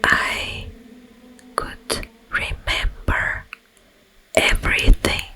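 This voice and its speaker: Woman whispering as an eerie, slow-talking demon. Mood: hushed, eerie. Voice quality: breathy, mostly unvoiced whisper. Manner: very slow, broken into short hushed phrases. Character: eerie sleep paralysis demon, once a tormented monk. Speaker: ASMR performer. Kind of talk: ASMR roleplay, slow whispered storytelling.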